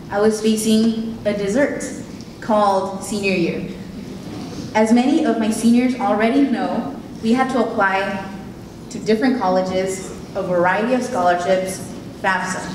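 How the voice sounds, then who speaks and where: A young woman whose first language is Spanish delivers an earnest, heartfelt keynote from her notes. Her voice sits at an ordinary pitch and carries through a very echoey hall, picked up from a distance. A steady background hiss runs under it. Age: late teens. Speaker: keynote speaker. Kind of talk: prepared speech. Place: event hall.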